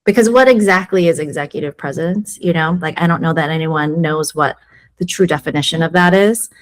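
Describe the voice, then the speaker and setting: A woman's voice: low-pitched, a little fast. A woman in an interview, telling her own story frankly and acting out what people said to her. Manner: frank, animated storytelling with acted-out quotes. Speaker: sales executive guest. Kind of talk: candid interview conversation.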